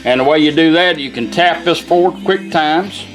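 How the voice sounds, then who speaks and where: A man casually demonstrating restored equipment in an informal, folksy, unhurried way. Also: a little high, soft background music, low-quality recording. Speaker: radio restorer demonstrating a product.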